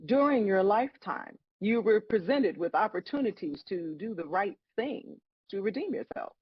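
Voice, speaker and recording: An older woman playing a folksy, unflappable female God in a comedy table read, husky, wry and matter-of-fact. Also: medium pitch, animated, moderate pace, dry recording, highs cut off. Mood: disappointed, patient. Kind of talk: live table read acting.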